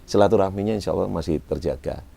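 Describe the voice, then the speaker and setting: A man's voice: low voice, fast talker, vocal fry, mellow. A man in his forties with a Malang Javanese accent, chatting casually as an interview guest, self-mocking and slipping in Javanese words. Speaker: guest, event MC and former radio announcer. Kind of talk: relaxed interview chat.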